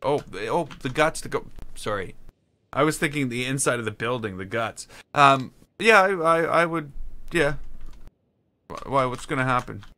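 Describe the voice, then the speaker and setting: A man streaming a game, chatting casually and haltingly, answering chat while he builds. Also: medium pitch, lively intonation, pushed and bright. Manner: rambling, halting, answers chat between tasks.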